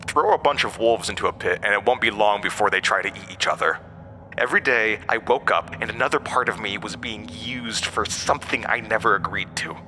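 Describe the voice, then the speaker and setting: Bright, echoing, theatrical supernatural outcast. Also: male voice, lowish voice, fairly quick. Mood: bitter, wounded. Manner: taunting, dramatic storytelling with swooping emphasis. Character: ancient wounded supernatural outcast, manipulative, theatrical, bitter. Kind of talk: horror audio drama acting. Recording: long cavernous reverb, faint noise.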